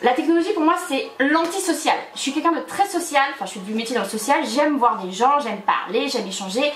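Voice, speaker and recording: A woman guest in a casual chat over a cocktail, expressive and opinionated, with hesitant fillers. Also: high-pitched, fast talker. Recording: echoey room, faint noise.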